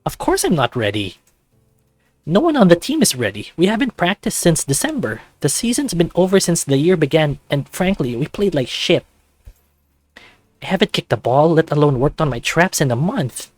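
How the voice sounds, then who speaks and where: A man voicing a grumpy, excitable teenage boy on a school soccer team in a visual novel, exasperated and sarcastic. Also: fairly high pitch, normal speed, no room sound, soft background music, voice pushed up. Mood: agitated ranting.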